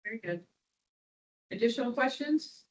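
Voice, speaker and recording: A woman's voice: on the high side, lively intonation, moderate pace, rough recording. A woman chairing a committee meeting, informal and chatty as she moves the agenda along.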